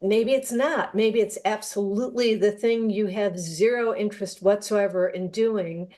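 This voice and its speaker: A woman in a panel discussion, speaking in long, measured sentences and hesitating as she searches for words. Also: medium pitch, fairly even intonation, mellow. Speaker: theatre panel participant.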